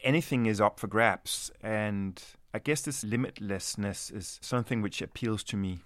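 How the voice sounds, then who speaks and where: A man's voice: on the low side, moderate pace, fairly bright tone, dry recording, clean studio sound. A man from Denmark, an anthropologist, answering interview questions in a reflective, hesitant way with many restarts.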